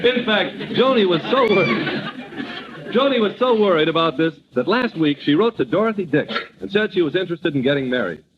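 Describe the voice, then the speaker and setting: A man's voice: high-pitched, expressive, brisk, crowd noise, noisy background. A man announcing a 1940s radio comedy show in a polished, showmanlike style. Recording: muffled old radio recording.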